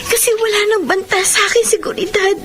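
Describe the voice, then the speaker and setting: A wounded young Filipina heroine of a radio drama. Her high voice is earnest and plaintive, full of feeling, with music playing clearly underneath. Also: fairly even intonation, quick pace, fairly bright tone. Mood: resolute, grateful.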